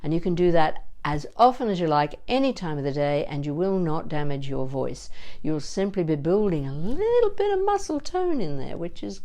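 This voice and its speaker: Expressive low-voiced woman voice coach. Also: moderate pace. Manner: expressive, encouraging, demonstrates with sighs and playful asides. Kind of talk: instructional warm-up demonstration.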